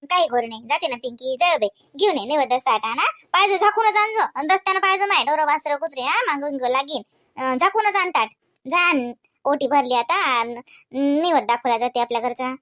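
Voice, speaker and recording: Woman playing a high-voiced, devout village aunt, Varhadi Marathi. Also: fairly quick, fairly bright tone, no echo, clean studio sound. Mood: bossy. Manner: chatty, homely, instructing. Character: devout, caring village aunt with motherly bossy temper. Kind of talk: comedy cartoon dialogue.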